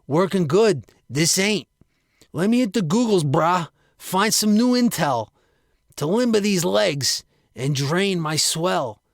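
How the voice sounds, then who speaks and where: A man's voice: high-pitched, expressive, slow pace, no room sound, clean studio sound, pitched above the performer's own voice, heavily acted. A man voicing a burly, good-natured cement worker in a slangy tough-guy delivery for a storytelling piece. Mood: determined.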